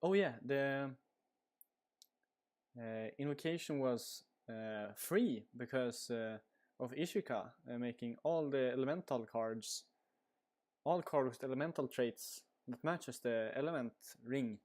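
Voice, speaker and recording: A man's voice: medium pitch, measured pace, darkish tone. A man with a Swedish accent giving casual live commentary on a card game stream, rambling with lots of fillers. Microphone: headset.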